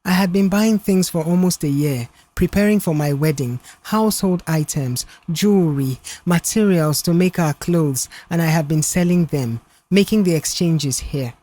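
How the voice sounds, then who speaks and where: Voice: medium pitch, moderate pace, few pauses, warm tone, dry recording, close to an everyday voice. A narrator as a pleading young woman secretary. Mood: remorseful, pleading. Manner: pleading, earnest. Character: apologetic, desperate young woman office secretary.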